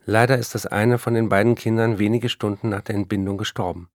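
An actor playing a restless, addicted male writer who narrates his life and letters in a literary radio drama, his voice gravelly. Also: on the low side, steady tone, fairly quick, dry recording, studio quality, lightly acted. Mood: earnest, composed.

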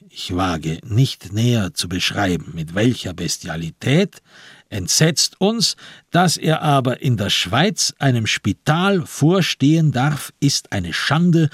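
Husky male narrator, very dry sound. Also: medium pitch, no echo. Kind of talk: literary radio drama narration, scripted.

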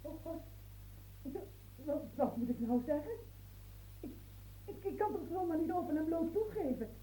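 An actress in a radio play voicing a coaxing, stammering working-class mistress. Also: female voice, high voice, animated, normal speed, dark tone, poor audio. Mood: coy flustered.

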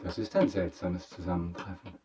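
A man's voice: deep voice, steady tone, moderate pace, a crowd in the background, natural voice. An actor playing a commanding aristocratic count in a German radio drama, polished, ironic and probing in his questions.

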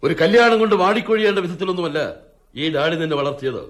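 A man playing a cunning, domineering older father, a retired forest ranger, in a radio drama, speaking in a stern, probing, measured way. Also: high-pitched, animated. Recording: radio drama with music behind.

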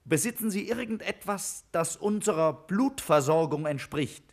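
An actor playing a curious, kindly scientist in a 1950s radio play, with a deliberate, inquiring manner. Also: male voice, on the high side, lively intonation. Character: curious, attentive male scientist, kindly colleague.

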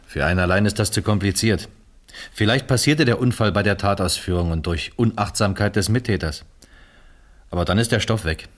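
A man's voice: fairly low pitch, a little fast, close to an everyday voice. A man playing an informal, matter-of-fact police detective reasoning through a case in a German crime radio play. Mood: musing.

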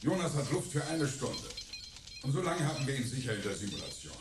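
A man's voice: mid-range voice, huge pitch swings, fairly quick. An actor playing a cowardly, wheedling male saboteur in a German sci-fi crime radio drama, evasive and pleading in manner. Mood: defensive. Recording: echoey, noisy radio play scene.

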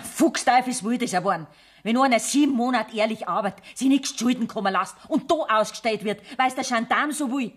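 A woman's voice: a little high, fairly quick, lightly acted. Actress as a plain-spoken older Bavarian mother. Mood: indignant. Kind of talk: 1970s German radio play acting in dialect.

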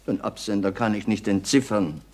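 An actor playing a proper, bewildered money postman, polite and halting, in a 1960s German radio comedy. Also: on the low side, a little mellow, natural voice. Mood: amused, businesslike.

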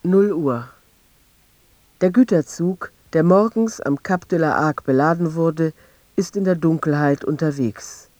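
A man reading scripted literary narration in a radio drama, measured and precise. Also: fairly high pitch, darkish tone, dry recording.

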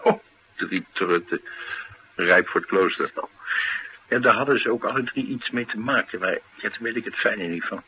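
An actor playing a dry, sardonic, reticent former bodyguard troubled by an old head wound, in a Dutch radio drama. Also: male voice, lowish voice, normal speed, pushed and bright, slightly rough, heavily acted, low-quality recording. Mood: cynical, curt.

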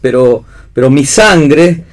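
A man's voice: a little high, animated, slow pace. A man playing a tormented, lovelorn romantic in a read radio play.

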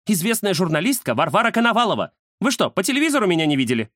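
Guy doing a snooty lady reporter in a storybook, huffy, high voice, very swoopy. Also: wildly swooping pitch, fairly quick, no echo, clean studio sound, heavily acted. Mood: indignant. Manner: huffy, self-important. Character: vain, indignant woman journalist, haughty and touchy.

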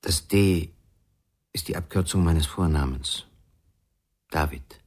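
A grave, guarded psychologist in a radio crime drama. His voice is extremely deep and husky, and he gives short, measured, weary answers. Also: a little mellow, heavily acted. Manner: sparse, measured replies.

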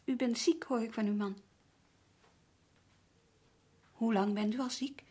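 An actress playing a patient, careful care worker mediating in a family, polite and tactful, in a Dutch radio play. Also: female voice, fairly high pitch, fairly even intonation, brisk, mellow, slightly rough. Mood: gentle.

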